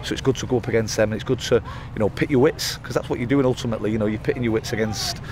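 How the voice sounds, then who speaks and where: A man in his thirties speaks as a football manager after a match, his low voice running fast and looping through fillers and repeated words. He stands pitchside under floodlights at night, close to a handheld mic. Loud outdoor noise sits behind him. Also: fairly even intonation. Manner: rambling, frequent restarts and fillers. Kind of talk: post-match interview.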